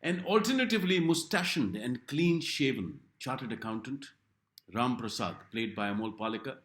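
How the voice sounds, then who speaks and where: A man reading a scripted review in a wry, dramatic manner with deliberate pauses. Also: mid-range voice, lively intonation, a bit bright, roomy sound. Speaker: film review narrator. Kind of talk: scripted narration.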